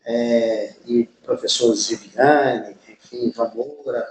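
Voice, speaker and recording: A Brazilian man with a Brazilian accent hosting a podcast in a casual, informal, somewhat halting conversational way. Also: mid-range voice, steady tone. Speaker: podcast host. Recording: roomy echo, ambient background noise.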